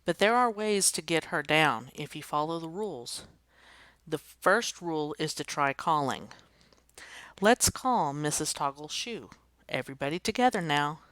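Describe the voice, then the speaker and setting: A woman's voice: deep voice, expressive, unhurried, a bit bright, no echo. Brief: officious man principal, lecturing, rule-bound, voiced by a woman. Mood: instructing. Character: stern, pompous, rule-bound male school principal.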